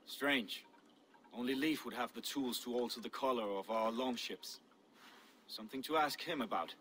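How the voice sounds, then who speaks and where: An actor playing an earnest Viking visionary in a video game, speaking in a solemn, deliberate, dramatic way. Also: male voice, medium pitch, a bit bright, slight background noise, natural voice. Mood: intense. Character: mystical Viking seer driven by visions, earnest. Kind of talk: video game cutscene dialogue.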